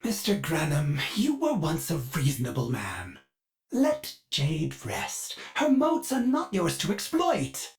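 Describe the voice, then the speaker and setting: A man's voice: on the high side, wildly swooping pitch, takes it slowly, heavily acted. An actor playing a polite, fussy male ship AI in a video game who speaks of himself in the third person, heard through a glitchy robotic voice filter. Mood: disdainful. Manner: sudden dark glitched outbursts.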